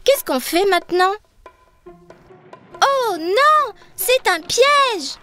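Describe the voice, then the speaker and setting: A woman's voice: very high-pitched, lively intonation, extremely slow, bright, forceful tone, exaggerated voice. A woman voicing an adventurous, curious young girl in a children's cartoon, speaking in an eager, exclamatory way. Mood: urgent, excited. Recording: music under the voice.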